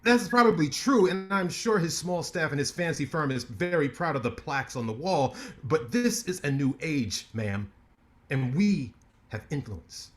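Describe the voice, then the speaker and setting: A man's voice: fairly high pitch, lively intonation, normal speed, a bit bright. A man playing a cocky swaggering lawyer. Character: brash ambitious male lawyer, combative. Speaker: actor in a staged play reading. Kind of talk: stage play reading.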